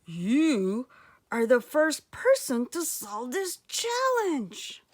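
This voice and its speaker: A woman performs an imposing old-tale eagle for a children's storybook. He is stern yet wise, speaking with slow command. His phrases rise and fall dramatically. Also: high voice, wildly swooping pitch, dry recording, heavily acted. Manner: commanding, deliberate. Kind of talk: children's storybook read-aloud.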